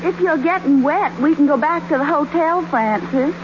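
Actress as a high-voiced, sweet young sweetheart. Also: female voice, moderate pace, lightly acted. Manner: gentle, hesitant, coaxing. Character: sweet, coy young woman coaxing her shy sweetheart. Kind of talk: 1930s radio romantic comedy acting. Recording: noisy, muffled 1930s radio broadcast.

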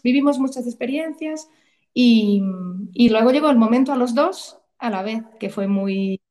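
A woman's voice: a little high, normal speed, mellow. A woman in her thirties, originally from Portugal, speaking. She tells her story in a chatty, emotional way and often restarts her sentences, as a guest in a casual interview.

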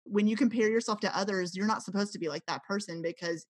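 A woman's voice: mid-range voice, quick pace, breathy edge, no echo. Reflective woman in her thirties. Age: 30s. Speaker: podcast co-host. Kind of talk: casual faith-based chat.

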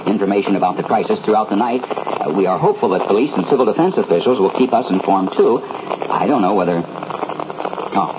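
A man's voice: on the low side, animated, natural voice. Casting: male actor as anxious, faltering radio announcer in crisis, swooping intonation, thin phone-band vintage broadcast sound. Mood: weary, halting. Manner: halting, stammering, anxious delivery. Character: shaken male radio newscaster, desperate and falling ill. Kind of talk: 1970s radio drama, live emergency broadcast. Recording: noisy, narrow phone-like old radio recording.

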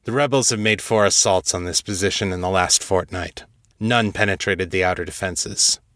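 A man's voice: deep voice, normal speed, fairly bright tone, no room sound, studio quality. A man voicing a very tall, dutiful fantasy captain in an audiobook, reporting formally and deferentially. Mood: formal, steady.

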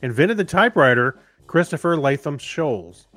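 A man's voice: on the high side, sing-song, moderate pace, fairly bright tone, dry recording. A man co-hosting a podcast, reading out items and chatting casually. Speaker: podcast co-host. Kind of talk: casual announcing and banter.

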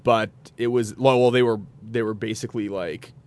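A man's voice: lowish voice, fairly even intonation, normal speed, clean tone. A young man telling stories casually as a podcast guest, wry and given to acting out little quotes. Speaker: podcast guest, segment creator. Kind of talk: casual storytelling.

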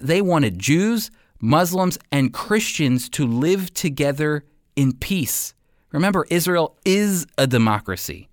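A man's voice: a little high, no echo, studio quality. A man delivering scripted radio commentary, speaking directly to listeners in an emphatic, persuasive manner. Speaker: host and Bible teacher giving commentary.

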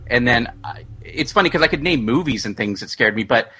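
A man talking casually as a panelist in a musing, halting way. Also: mid-range voice, lively intonation, pushed and bright, husky. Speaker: horror audio fiction writer and panelist. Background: music.

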